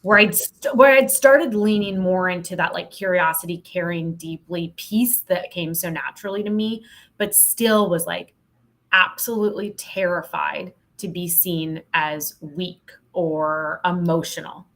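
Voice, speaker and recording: A woman with a slightly low voice talks as an interview guest. She tells her story conversationally and frankly. Her phrasing is reflective, with "like" dropped in often. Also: measured pace, fairly bright tone. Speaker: podcast guest, sales coach.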